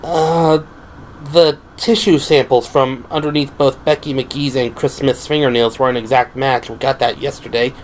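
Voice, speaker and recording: An earnest young policewoman in an audio drama, acted out by a man, with a raised pitch. The delivery is composed, measured and analytical, and steady noise sits behind the voice. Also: natural voice. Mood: composed, reporting.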